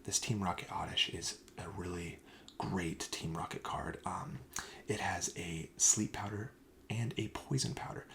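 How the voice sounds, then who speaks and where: A man speaking softly in an ASMR shop-clerk roleplay, often dropping to a breathy whisper, with a gentle, explanatory sales manner. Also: deep voice. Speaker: host playing a card shop clerk in a roleplay. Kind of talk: soft-spoken ASMR roleplay.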